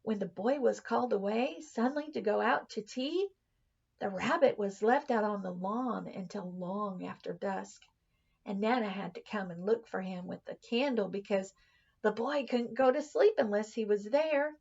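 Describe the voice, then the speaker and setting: Female storybook reader, expressive teacherly narration, gravelly fry in the voice, slightly muffled lo-fi sound. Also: mid-range voice, normal speed. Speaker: teacher reading a children's story aloud.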